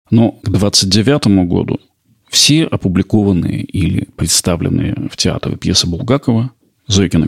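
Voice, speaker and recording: A man narrating a scripted history podcast, measured and explanatory with occasional small slips. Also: lowish voice, gravelly, slightly rough. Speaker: podcast host.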